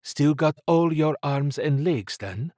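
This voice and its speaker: Audiobook role: cool, sharp female secret agent played by a man, curt and commanding, pitched up from his own low voice. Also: lively intonation, slow, dry recording, studio quality. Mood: dry. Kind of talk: audiobook character dialogue.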